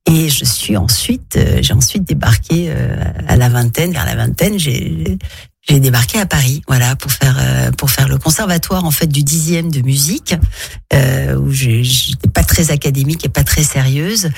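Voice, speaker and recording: A woman's voice: rumbling low, normal speed, gravelly, darkish tone, husky, no room sound. A woman actress from Morocco, a guest on a radio interview, telling her life story with many hesitations and restarts.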